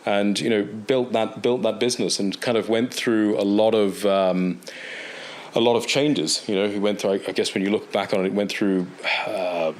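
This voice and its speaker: A man in his forties, his voice sitting a little low, talks casually as a guest in an interview. He hedges and restarts often, peppering his sentences with "you know" and repeated words. It sounds like an indoor interview setting. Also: steady tone, moderate pace. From England. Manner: hesitant, many fillers and repeated words. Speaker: interview guest, tea company director. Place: indoor interview set in East London.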